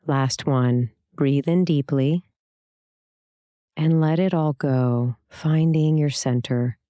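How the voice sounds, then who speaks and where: A meditation instructor guiding a scripted practice in a calm, soothing, gentle way, leaving long pauses. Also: medium pitch, clear, resonant tone, no echo, clean studio sound.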